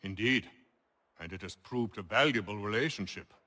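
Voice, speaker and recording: An actor playing a genial, well-spoken colonial landowner and merchant, articulate and wry, in a video game cutscene. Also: male voice, fairly low pitch, moderate pace, bright, forceful tone. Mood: wry, reminiscing.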